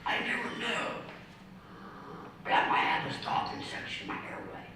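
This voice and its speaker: A man in his thirties from Ohio with a raspy, mostly whispered voice from vocal cord damage, reading a personal speech slowly and methodically with restarts. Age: 30s. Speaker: award speaker, ordained minister. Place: community center hall. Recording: echoey hall from far off, faint noise.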